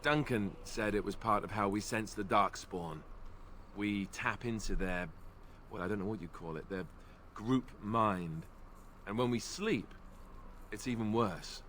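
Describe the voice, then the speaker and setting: A man's voice: on the low side, a little slow, a bit bright, slight background noise. An actor voicing a young male warrior in a video game, who is joking and self-deprecating with a dry, wry humor. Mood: somber.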